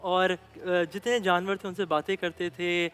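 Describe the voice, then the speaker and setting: A man with a Pakistani accent telling a religious story to children, explaining simply with pauses. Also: high voice, level tone, fairly bright tone, clear, resonant tone, faint music behind.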